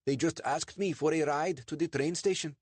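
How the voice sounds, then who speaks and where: A man voicing a nervous, excitable truck owner who pleads and protests in a raised voice. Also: on the high side, normal speed, husky, no room sound, voice pushed up. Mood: pleading.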